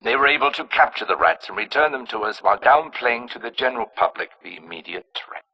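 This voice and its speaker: An actor playing a cold, arrogant scientist in a video game, narrating with clinical precision in a raspy, hard-edged voice. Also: male voice, medium pitch, expressive, fast, pushed and bright, heavily acted, low-quality recording. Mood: clinical, detached. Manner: clinical, precise, self-important. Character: cold, arrogant male scientist engineering plagues and alien pathogens.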